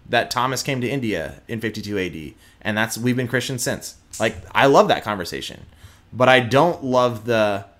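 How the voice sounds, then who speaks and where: A young man from Houston with a faint Texas twang talks candidly in an interview. His voice sits at an ordinary middle pitch, and he presses his points with emphasis, often breaking off and restarting mid-sentence. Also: fairly bright tone. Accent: slight Texas twang. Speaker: guest, prosecutor.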